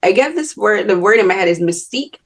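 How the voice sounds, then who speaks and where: A woman's voice: mid-range voice, expressive, quick pace. A young woman with a Bajan accent hosting an interview, talking in long loose sentences full of restarts and "like" fillers. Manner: rambling, many restarts and "like" fillers. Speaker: host. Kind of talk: casual interview chat.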